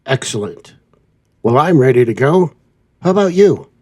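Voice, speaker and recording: A man's voice: mid-range voice, big pitch swings, moderate pace, noisy background. A man hosting a semi-scripted trucking show, presenting to listeners in a theatrical announcer manner. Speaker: host of a trucking show playing old-time radio.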